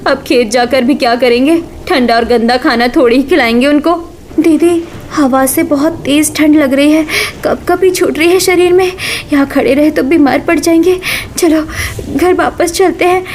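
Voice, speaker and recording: A woman with a high, light voice acts out every woman in an animated family story. Her delivery is plaintive and pleading. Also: moderate pace, a little hiss or hum. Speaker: voice artist for all women figures. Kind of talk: cartoon dubbing of family drama.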